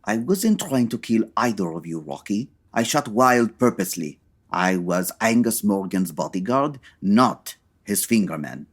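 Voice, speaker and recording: Low, husky French bodyguard. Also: male voice, animated, full character voice. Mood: guarded. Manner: formal, measured. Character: formal, guarded Frenchman working as a bodyguard.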